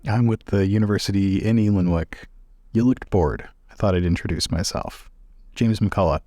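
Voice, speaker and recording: Man playing a reserved low-voiced professor. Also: moderate pace, mellow, no echo, clean studio sound, close to an everyday voice. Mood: polite, reserved. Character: reserved male traveling university professor.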